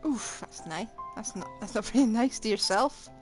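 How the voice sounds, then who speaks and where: A woman's voice: fairly high pitch, moderate pace, soft background music. A woman streamer giving informal, wry live commentary as she plays a game.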